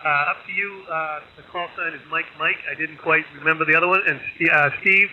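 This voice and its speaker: A man's voice: a little high, fairly even intonation, fairly quick, pushed and bright, rough recording. A man talking casually as an amateur radio operator, matter-of-fact and courteous, over a radio link. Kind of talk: casual radio signal report. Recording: ham radio signal, faint static. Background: faint radio noise.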